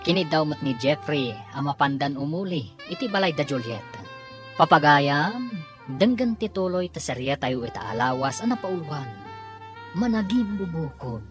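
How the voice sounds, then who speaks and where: A low female voice with an Ilocano accent delivers dramatic radio serial narration. Her intonation swings widely, and music plays clearly behind her. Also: lively intonation, moderate pace.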